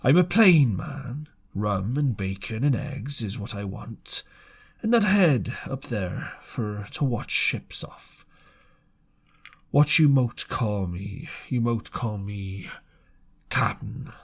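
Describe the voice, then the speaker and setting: Man doing a slow, gruff old seaman. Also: medium pitch, animated, heavily acted. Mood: blunt, commanding. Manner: rough, commanding sailor talk. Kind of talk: character voice in audiobook.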